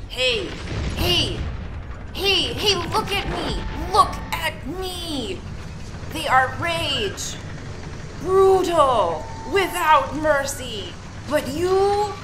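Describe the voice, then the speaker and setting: Shrill, fierce, commanding war-rouser. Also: female voice, very animated, background noise, heavily acted. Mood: fierce, urgent. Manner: slow, forceful, declamatory shouting. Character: fierce commanding figure rousing a warrior to brutality. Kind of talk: shouted dramatic audio-drama speech.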